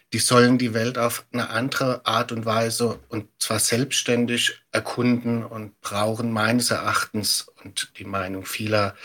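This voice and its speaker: A middle-aged man speaks as an expert guest in an interview. His voice is bright and forceful, with a husky edge and a middling pitch. He explains things in a reflective, conversational way, often posing questions as he goes.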